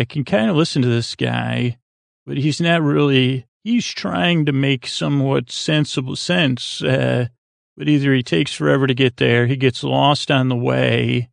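An American man hosting a bedtime sleep podcast in a creaky, lulling tone, rambling and halting as he stumbles over his words with plenty of fillers. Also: medium pitch, dry recording. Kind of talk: rambling bedtime monologue meant to lull listeners to sleep.

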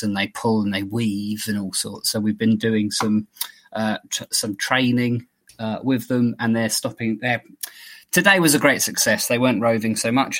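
Casting: male podcast host, mid pitch, loose chatty delivery, laughs a lot, swears freely. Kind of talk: casual chat.

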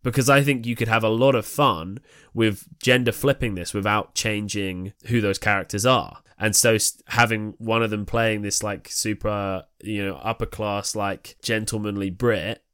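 Low-voiced man, casual and close. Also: steady tone, normal speed, a bit bright, clean tone, dry recording. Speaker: podcast co-host. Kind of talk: casual creative discussion about staging a play.

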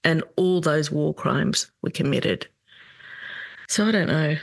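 A middle-aged woman hosting a solo commentary podcast, talking in an earnest, indignant way with halting restarts. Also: deep voice, dry recording. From Australia. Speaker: podcast host.

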